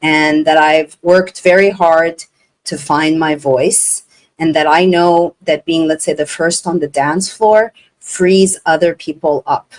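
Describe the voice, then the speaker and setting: A woman's voice: deep voice, steady tone, slow pace. A woman from Montreal, not a native English speaker, hosting an interview in an earnest, effusive way. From Montreal, Canada. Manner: effusive, earnest, retells dialogue. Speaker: interview host. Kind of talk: casual interview chat.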